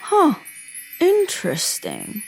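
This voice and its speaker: A hot-headed young internet troll in a comedic audio drama. Her voice is high and animated, sarcastic and exaggerated, lingering on words, over faint music. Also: takes it slowly, gravelly, heavily acted, low-quality recording. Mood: bemused, sheepish.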